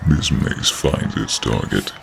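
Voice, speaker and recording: A man voicing a giant enemy knight in a fantasy audio role-play, formal and courteous yet menacing, with an imposing, deliberate delivery. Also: background noise, exaggerated voice. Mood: grim, threatening. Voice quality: very deep, gravelly.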